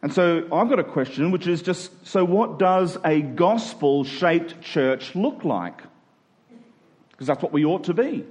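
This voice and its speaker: An Australian man preaching a sermon as a church pastor, earnest and emphatic with deliberate phrasing. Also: fairly high pitch, animated, a little mellow.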